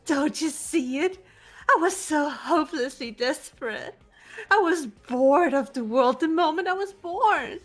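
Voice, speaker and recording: A woman's voice: high-pitched, lively intonation, measured pace, a bit bright, soft background music. A woman voicing a manic teenage girl mastermind villain from a video game, theatrical, mocking and gushing. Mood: ecstatic, gloating. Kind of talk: fan voice acting of a video game script.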